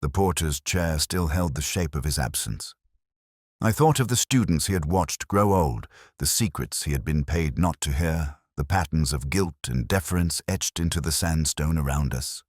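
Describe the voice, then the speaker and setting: A man narrating a first-person detective story in a measured, literary, brooding manner with a husky voice. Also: a bass voice, animated, no echo, studio quality.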